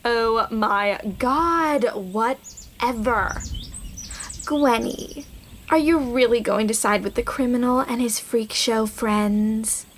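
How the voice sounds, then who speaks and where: A woman's voice: a little high, takes it slowly, a little hiss or hum. A woman playing a snooty, scornful teenage mean girl in an audio drama, with a mocking drawl.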